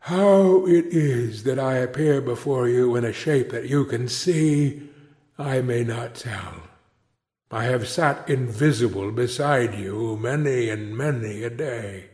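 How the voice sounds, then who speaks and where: A man's voice: medium pitch, takes it slowly, a little mellow, close to an everyday voice. A man voicing the anguished ghost of an old businessman bound in chains, solemn and grieving as he declaims his warnings. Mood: mournful warning.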